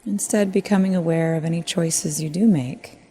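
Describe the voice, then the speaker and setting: A woman leading a guided meditation in a gentle, measured way, leaving long pauses. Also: lowish voice, husky.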